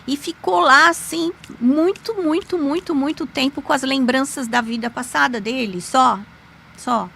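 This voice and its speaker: A woman, a podcast guest, telling a personal story in an animated, emphatic way with sudden pitch jumps. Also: a little slow, creaky, slight background noise. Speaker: podcast guest, lawyer and magic teacher. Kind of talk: conversational storytelling.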